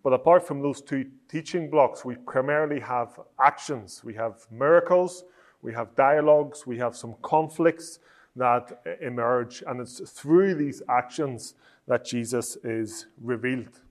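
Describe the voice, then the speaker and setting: A man from Northern Ireland with a Northern Irish accent, giving a measured, explanatory teaching talk on preaching. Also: mid-range voice. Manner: measured, explanatory, with frequent restarts.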